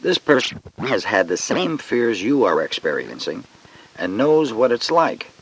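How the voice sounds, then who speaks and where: A man's voice: medium pitch, sing-song, measured pace, slight background noise, poor audio. A man reading scripted educational narration, explaining things carefully and instructively.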